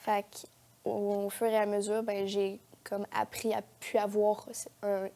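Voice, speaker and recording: A woman's voice: mid-range voice, monotone, measured pace, warm tone. A teenage girl with a Quebec French accent chatting casually as an interview guest, with youthful, informal phrasing. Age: teens. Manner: youthful informal talk peppered with "genre" and "comme". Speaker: guest, young actress. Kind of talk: casual chat. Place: TV studio.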